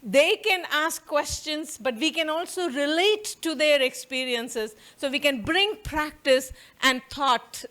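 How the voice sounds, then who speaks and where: A middle-aged woman from India with an Indian accent, giving a quick, conversational talk in a university auditorium and laughing often. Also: high-pitched, bright, forceful tone. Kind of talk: conference talk to an audience.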